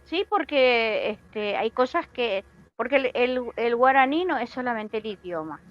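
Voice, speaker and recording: A woman's voice: fairly high pitch, expressive, measured pace. A woman from Paraguay who lives in Argentina, a student in an online class, talking hesitantly on a video call. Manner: hesitant, many fillers and restarts. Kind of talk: casual chat. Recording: dull lo-fi call audio with faint noise.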